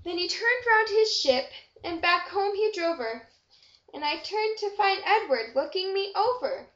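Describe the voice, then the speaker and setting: A woman's voice: extremely high pitch, animated, a little slow, a bit bright. A woman reading a rhyming children's story aloud with expression. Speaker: storybook reader. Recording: dull echoey amateur room recording.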